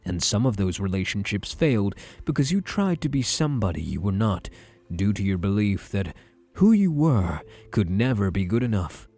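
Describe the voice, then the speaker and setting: A man playing a self-assured, possessive psychiatrist in an ASMR roleplay, speaking in a measured, soothing, formal manner with a husky voice. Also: on the low side, big pitch swings, mellow, soft background music. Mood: measured, clinical. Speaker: roleplay voice actor. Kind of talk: ASMR roleplay, scripted monologue to the listener.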